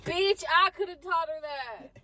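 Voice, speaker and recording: A young woman co-hosting a podcast, reacting loudly and profanely in casual chat. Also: very high voice, a little slow. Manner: loud, profane, reactive, animated. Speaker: podcast co-host.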